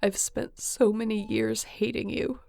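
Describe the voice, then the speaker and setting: A woman acting an exhausted, guilt-ridden, devoted space adventurer in an intimate sci-fi audio roleplay, speaking earnestly and tenderly in halting phrases. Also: on the high side, level tone, creaky, darkish tone. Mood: anguished confession.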